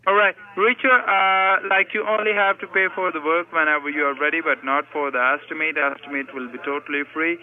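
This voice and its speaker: Man, tinny phone speaker, slightly high voice, scripted sales caller, polite and formulaic. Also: steady tone, fairly quick, few pauses. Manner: formulaic, polite, repeatedly saying sir. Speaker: telemarketing caller posing as senior supervisor. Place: heard through a desk phone speaker. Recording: tinny speakerphone call audio.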